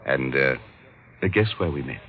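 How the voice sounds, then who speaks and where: An actor playing a rich, fussy, self-important art collector and unhappy husband in an old-time radio detective drama, with a pompous, fluttery manner. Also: male voice, rumbling low, expressive, moderate pace. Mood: fussy, agitated. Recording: dull, lightly noisy old radio recording.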